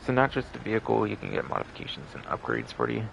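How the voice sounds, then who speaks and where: A man's voice: lowish voice, big pitch swings, fast, vocal fry, fairly bright tone, slight background noise, low-quality recording. A man rambling casually as he live streams a game, repeating himself and swearing often in a stop-and-start way. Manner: repetitive, heavily swearing, stop-and-start delivery.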